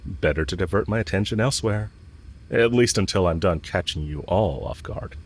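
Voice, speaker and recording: Man playing a low-voiced, smitten, teasing villain. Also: normal speed, slightly rough. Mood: fond, flirtatious. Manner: casual, teasing, frank. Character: smitten male villain, cocky, teasing, bluntly confessing love. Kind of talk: romantic audio roleplay, spoken intimately to the listener.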